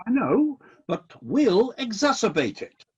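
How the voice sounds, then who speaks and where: A man acting in a radio play as God, a disillusioned but decisive ruler of heaven, with indignant questions and deliberate commands. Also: high voice, very animated, a little mellow, husky, muffled recording, exaggerated voice. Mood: commanding, resolute.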